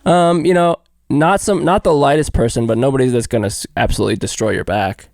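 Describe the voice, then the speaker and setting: Gravelly laid-back Midwestern podcast host. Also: male voice, medium pitch, fast, darkish tone, no room sound, clean studio sound. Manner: joking, laid-back, profane. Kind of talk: casual comedy podcast chat.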